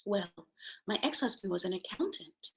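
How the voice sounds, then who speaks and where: A woman from Jamaica hosting a self-help show, giving motivational talk and personal stories in an emphatic, expressive way, repeating key words for effect. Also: medium pitch, normal speed.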